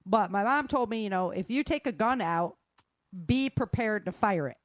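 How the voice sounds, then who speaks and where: A woman's voice: medium pitch, animated, moderate pace, no room sound. A woman giving casual, conversational advice in an emphatic, plain-spoken manner. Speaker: guest sharing self-defense advice.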